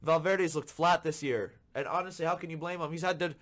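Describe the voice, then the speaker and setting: A man hosting a football podcast, ranting with frustration and repeating his points for emphasis, a little hoarse from being sick. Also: on the high side, fairly even intonation, fairly quick, fairly bright tone. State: slightly hoarse from illness. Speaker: podcast host. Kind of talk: solo football commentary rant.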